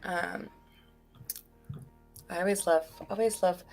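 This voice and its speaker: A woman's voice: on the low side, steady tone, takes it slowly, a little mellow, faint music behind. A woman co-hosting a casual livestream, chatting in a rambling, self-deprecating way. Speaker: stream co-host.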